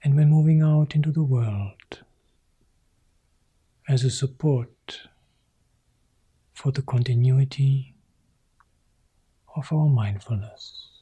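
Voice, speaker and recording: A man leading a guided meditation as a teacher, speaking calmly in short, sparse phrases with long pauses, in a soft, husky, breathy voice. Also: medium pitch. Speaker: meditation teacher guiding a practice.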